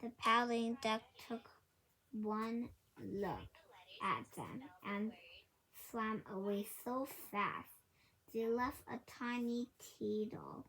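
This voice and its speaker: A woman reading a children's picture book aloud haltingly, word by word, with stumbles and self-corrections. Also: on the high side, a bit bright, rough recording. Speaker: storybook reader.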